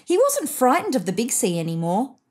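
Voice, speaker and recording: A woman reads a children's storybook aloud. Her narration is lively and expressive, with a widely moving pitch and deliberate stress on phrases. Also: husky. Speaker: picture book reader.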